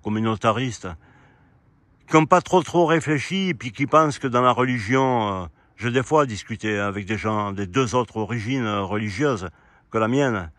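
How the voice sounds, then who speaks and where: A man giving a rambling, argumentative opinion monologue, speaking directly to his listeners in an indignant way and halting to search for words. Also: mid-range voice, fairly bright tone, no room sound. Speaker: amateur video commentator. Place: quiet spot outdoors.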